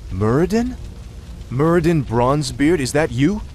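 A man's voice: on the high side, sing-song, measured pace, darkish tone, slight background noise. A man playing a resolute young prince in a video game, speaking with confident, clipped command. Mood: surprised, friendly. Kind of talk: video game cutscene acting.

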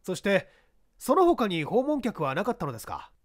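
A man's voice: high voice, wildly swooping pitch, moderate pace, voice pushed down, full character voice. A businesslike police detective voiced by a male reader in a dramatized mystery. He puts short, probing questions and sounds inquisitive and matter-of-fact. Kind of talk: dramatized mystery story reading.

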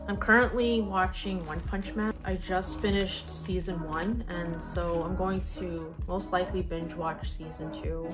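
Slow-paced young woman over music. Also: lowish voice, fairly even intonation. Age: young adult. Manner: gushing over cute things, talks to objects. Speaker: vlogger unboxing collectible figures. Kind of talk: casual chat to viewers. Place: indoors, living room at home. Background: background music.

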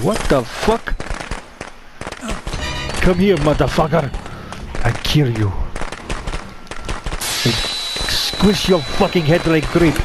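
A man voicing a bumbling, foul-mouthed killer devoted to his mother, talking in stilted broken English while commenting on his gameplay. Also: a little high. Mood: angry threats. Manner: broken English, dropped articles, stilted phrasing. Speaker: gamer playing a horror game in character.

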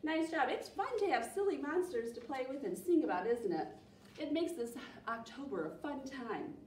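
An American woman in her thirties leading a children's storytime, speaking in an animated, encouraging way to young listeners. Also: high-pitched, moderate pace. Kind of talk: children's storytime reading and singalong. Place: small library program room, indoors. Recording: echoey room, distant mic, faint noise.

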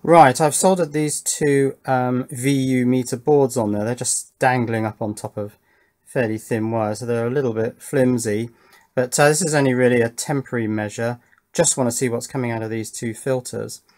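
A man's voice: mid-range voice, fairly even intonation, darkish tone. A middle-aged man, presenting a hands-on electronics project as casual commentary, musing and putting questions to himself as he goes. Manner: musing, self-questioning, measured. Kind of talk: casual hands-on workbench commentary, thinking aloud. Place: indoor electronics workbench.

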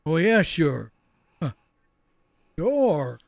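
An excitable, hapless agent in a comic space radio serial. His voice is high and lively, at a slow pace. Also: vocal fry, no room sound, studio quality, full character voice. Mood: agreeable.

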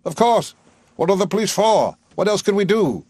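A man's voice: high voice, sing-song, normal speed, a little mellow, slightly rough, no room sound, voice pushed up, exaggerated voice. A man doing a raging, blustering professor. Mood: indignant. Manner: explosive, indignant outbursts. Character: blustering male professor, irascible, self-pitying.

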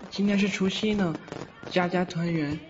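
A man's voice: high-pitched, fairly even intonation, moderate pace, noisy background, close to an everyday voice. A man voicing a lonely young ghost, musing wistfully in an audio drama. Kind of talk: Chinese audio drama acting. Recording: dull lo-fi audio, loud background sound.

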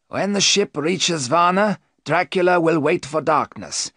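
A man's voice: a little high, expressive, measured pace, sharp tone, no echo, studio quality, pitched above the performer's own voice, heavily acted. A man doing Van Helsing, a grave old professor. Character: wise elderly male professor, grave and commanding.

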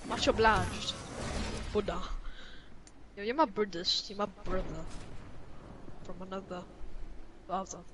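A woman's voice: mid-range voice, fairly even intonation, a little slow, long pauses, noisy background. A young woman gaming with friends, trash-talking them in a cocky, joking way. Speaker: gamer. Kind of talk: casual gaming chat.

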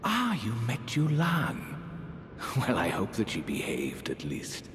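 An older man playing a genteel, eerie aristocratic sorcerer priest in a fantasy game, speaking in theatrical archaic phrases with a breathy voice that drops toward whispers. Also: wildly swooping pitch, takes it slowly, heavily acted. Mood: gently indulgent. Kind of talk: dramatic fantasy game character dialogue. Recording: echoey hall reverb, faint rumbling noise.

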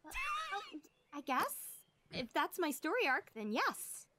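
A woman's voice: high voice, very animated, measured pace. A woman voicing a meek, bewildered cartoon girl, timid and hesitant, in a comedic fan dub. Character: meek, dazed female cartoon captive, bewildered. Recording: loud background noise.

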